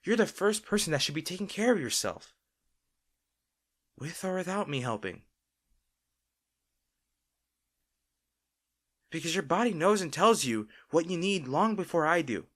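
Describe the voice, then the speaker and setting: A man playing a caring boyfriend in an intimate audio roleplay, talking tenderly and with gentle insistence to his partner. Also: on the high side, very animated, normal speed. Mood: concerned, earnest. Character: caring, gently firm young boyfriend soothing an overworked partner.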